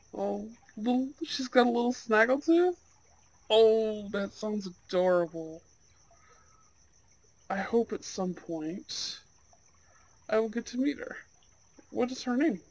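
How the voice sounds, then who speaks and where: A performer voicing a charming, soft-spoken young Goth gentleman on a blind date, in an ASMR roleplay with gentle, courteous, slightly old-fashioned phrasing. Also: medium pitch, animated, takes it slowly, long pauses, no room sound, rough recording. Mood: delighted, tender. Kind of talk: ASMR roleplay, speaking to an unheard listener.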